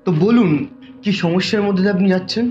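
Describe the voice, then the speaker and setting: A man's voice: sits high, fairly even intonation, fast talker, darkish tone, dull audio, soft background music, natural voice. A man with a West Bengal Bengali accent playing a patient detective in an amateur web drama. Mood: calm. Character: male detective, elder-brother figure, explaining patiently.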